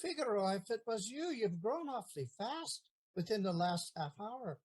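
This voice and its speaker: A woman's voice: medium pitch, expressive, normal speed, darkish tone, slightly rough. A woman acting the part of a stubborn, half-drunk old male gardener in a comic period play. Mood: suspicious.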